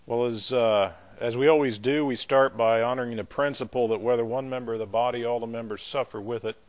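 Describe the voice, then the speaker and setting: A man's voice: medium pitch, fairly even intonation. A man leading a Bible study as pastor, chatting in a folksy, easygoing way between readings. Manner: folksy, chatty asides with light laughs; measured, emphatic scripture reading.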